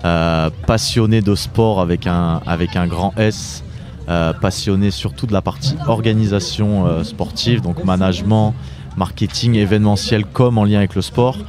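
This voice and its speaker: Low-voiced young man, hesitant interview guest. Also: steady tone, moderate pace. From Drôme, southeastern France. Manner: hesitant, searching for words, repeating phrase starts. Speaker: interview guest, author and entrepreneur. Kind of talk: conversational interview answers.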